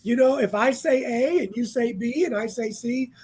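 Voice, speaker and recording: A man's voice: very high voice, moderate pace, a little mellow, slightly rough. A man in his sixties, a panel guest speaking in a reflective, easygoing way on a casual radio talk show. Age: 60s.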